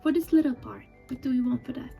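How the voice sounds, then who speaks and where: Woman, Persian accent, slightly high voice, soft casual chat, relaxed tone. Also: quick pace, vocal fry. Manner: soft-spoken, chatty, thinking aloud. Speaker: drawing video creator. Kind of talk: casual chat while drawing. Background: faint music and marker scratching.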